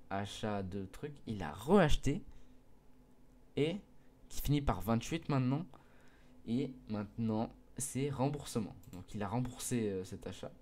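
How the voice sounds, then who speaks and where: Hesitant teenage boy with a mellow voice. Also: mid-range voice, animated, unhurried. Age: mid-teens. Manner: hesitant, many fillers and restarts; earnest, pleading with viewers. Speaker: gaming video creator. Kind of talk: casual explanation to viewers.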